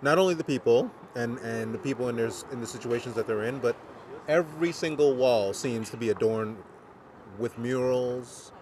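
A man's voice: medium pitch, moderate pace, a crowd in the background. A man who is a native New Yorker, co-hosting a podcast and chatting in a reflective, enthusiastic way at an outdoor city restaurant. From New York. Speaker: podcast co-host. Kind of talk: casual chat. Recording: faint street traffic noise behind.

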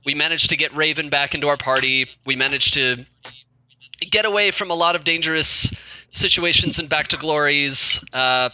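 A man's voice: a little high, steady tone, bright, forceful tone, rough recording. A man in his late twenties, a tabletop game player chatting casually and laughing often. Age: 20s. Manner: laughs often, quick and playful. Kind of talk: casual chat among players.

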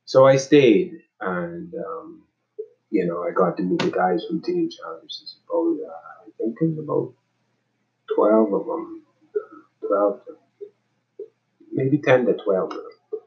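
Bahamian man, 40s, nonprofit director; halting delivery, deep voice with a dull lo-fi sound. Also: sing-song. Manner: halting, restarts and repeats words. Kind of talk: casual personal introduction.

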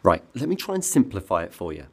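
An actor voices an English man, a shifty delivery driver who is easily riled. He talks in a colloquial, impatient way, his pitch leaping about, in a dark comedy audio drama. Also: huge pitch swings, fast, slightly rough, heavily acted. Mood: secretive, wheedling.

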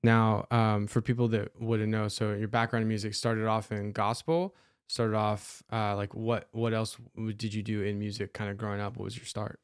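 A man with a fairly low voice hosts a studio interview, and his sound is dry and close. He keeps things relaxed, nudging his guest along with short acknowledgments. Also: normal speed, smooth, dry recording. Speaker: podcast host. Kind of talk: casual interview chat. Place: recording studio.

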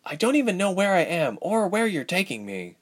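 A man's voice: high-pitched, very animated, brisk, pitched above the performer's own voice. A man voicing a young woman ice mage in an audiobook story, wary and dryly humorous, with a questioning manner. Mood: puzzled. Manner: questioning, dry.

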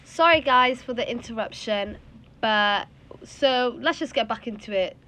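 A woman's voice: a little high, a little slow, sharp tone. A young woman, leading a casual group discussion and rephrasing as she goes. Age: young adult. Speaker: discussion host. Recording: clear background noise and room ambience.

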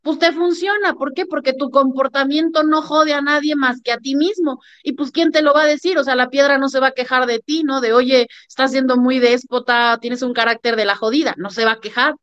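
A woman, a podcast guest arguing her point with emphatic, lively storytelling. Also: sits high, a little fast, few pauses. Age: 30s. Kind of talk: conversational podcast talk. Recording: muffled lo-fi sound.

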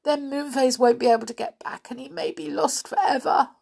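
A woman's voice: high voice, a little fast, creaky, a little mellow, pitched above the performer's own voice. A woman voicing a sweet, remorseful fairy girl who sobs and pleads as she talks. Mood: tearful. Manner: sobbing, breathless and pleading.

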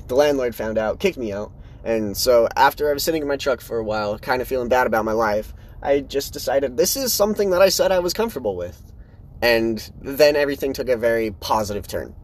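A man of twenty talking casually and candidly, hosting his own solo podcast in a rambling, choppy way. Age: 20s. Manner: rambling, candid, animated swings in pitch. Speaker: podcast host.

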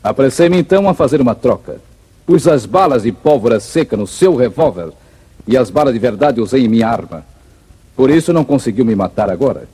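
A fearless cowboy hero of the Brazilian backlands in an old radio serial. His voice is very husky and mellow, with confident, declamatory delivery on a worn vintage recording. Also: medium pitch, animated, a little fast. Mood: calm, triumphant. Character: heroic male gunfighter of the backlands, calm, righteous, fearless.

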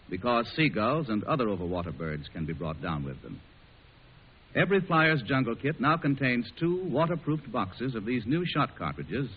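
A muffled vintage radio recording of a male announcer reading scripted copy. His husky voice rises and falls in an animated 1940s announcing style. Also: medium pitch. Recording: lo-fi old 1940s radio broadcast.

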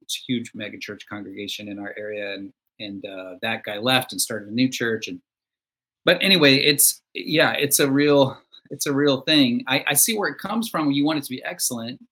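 A man's voice: medium pitch, moderate pace, sharp tone, breathy edge. A man being interviewed as a songwriter guest, talking in a reflective, conversational way. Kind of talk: casual conversation.

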